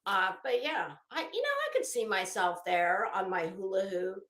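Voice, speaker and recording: A woman hosting a livestream, rambling casually in an animated, theatrical, self-mocking way. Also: mid-range voice, moderate pace. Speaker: livestream host. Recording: echoey, roomy sound.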